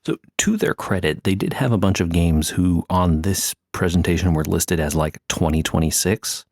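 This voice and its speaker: A man with a very deep voice co-hosts a gaming podcast. He talks in a casual, conversational way. The sound is dry, with little room to it. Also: moderate pace, no echo. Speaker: podcast co-host. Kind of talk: casual video game podcast chat.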